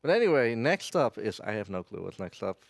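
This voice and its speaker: A man's voice: on the low side, big pitch swings, a little fast, creaky, no room sound. A man giving casual live commentary as a speedrunner talking to an audience. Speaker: speedrunner presenting a live run.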